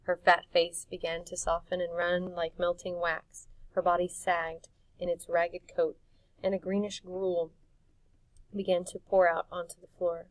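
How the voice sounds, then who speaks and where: A woman reading a storybook aloud as an audiobook narrator, in an expressive storytelling style. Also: on the low side, unhurried, no echo, recorded from a distance, rough recording. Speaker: audiobook reader.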